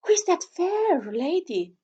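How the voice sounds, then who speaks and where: A woman's voice: very high voice, sing-song, unhurried, mellow, dull audio, pitched above the performer's own voice, exaggerated voice. A woman reading the lines of an earnest, lovestruck young prince in a storybook, eager and declarative. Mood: eager questioning.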